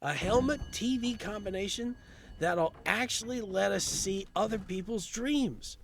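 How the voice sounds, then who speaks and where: A man's voice: high voice, a little slow, creaky, a little hiss or hum. A man playing a chatty, upbeat, friendly guy in an indie audio drama, eager and conversational.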